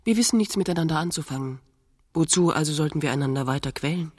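An actress playing a willful, teasing wife who is romantic and quick to jealousy, in a naturalistic radio drama. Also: female voice, low voice, long reverb tail. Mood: tense. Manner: insistent, teasing, pointed.

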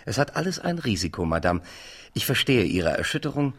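An actor playing a suave, condescending French marquis in a formal, coolly mocking German radio drama style of the seventies. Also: male voice, on the low side, sing-song, fairly quick, a bit bright, breathy edge, full character voice. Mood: smugly businesslike. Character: smooth, mocking aristocratic French marquis bringing bad news.

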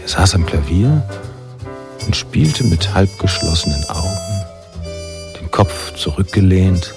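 An actor playing a reflective, nostalgic male narrator in a noir radio drama, speaking in a measured, literary manner. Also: deep voice, exaggerated voice. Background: jazz music.

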